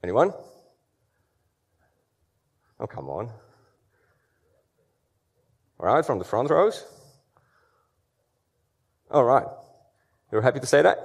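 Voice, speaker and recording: A man's voice: mid-range voice, big pitch swings, slow, gravelly, long pauses, husky. Man giving a conference talk. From Poland. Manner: conversational, many fillers and restarts. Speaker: security conference speaker. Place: large dark auditorium stage.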